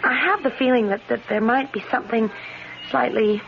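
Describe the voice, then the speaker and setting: High-voiced, hesitant young woman. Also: gravelly. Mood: nervous, evasive. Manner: hesitant, halting speech with nervous repetitions. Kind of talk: radio drama acting. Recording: dull, noisy vintage radio drama recording.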